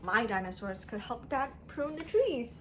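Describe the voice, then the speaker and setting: Lively woman reading aloud to young children. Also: fairly high pitch, unhurried, slight background noise. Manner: simple child-directed phrasing, prompting listeners with questions; expressive, emphatic delivery. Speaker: children's storytime teacher. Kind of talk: read-aloud storytelling to young children with asides and questions.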